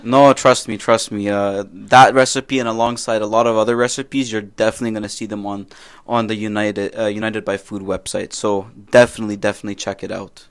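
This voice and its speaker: A man's voice: on the low side, flat delivery, brisk, smooth. A young man from Canada co-hosting a casual podcast, friendly and prone to repeating himself and restarting his sentences. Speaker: podcast co-host.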